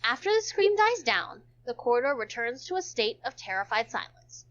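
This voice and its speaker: A woman with a high, very animated voice reads a visual novel aloud. She moves between narration and casual joking asides, tripping on the odd word. The sound is slightly dull and lo-fi. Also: normal speed.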